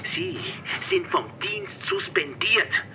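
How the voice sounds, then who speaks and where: A stern, irritated police chief in a radio play. The voice is piercingly sharp and animated, with faint rumble behind it. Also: male voice, slow, heavily acted. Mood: stern, reproachful. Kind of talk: radio drama acting.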